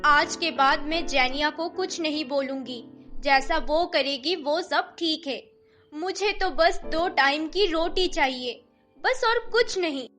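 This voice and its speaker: A woman's voice: high voice, slow pace, pushed and bright, soft background music, pitched above the performer's own voice, full character voice. A woman playing an aging Indian mother-in-law in a family story, plaintive, homely and doting. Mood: weary, pleading.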